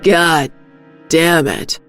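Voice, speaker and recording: A woman voicing a big, gruff, sorrowful father in a dark audio story. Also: sits low, fairly even intonation, drawn-out words, soft background music, full character voice. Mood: furious.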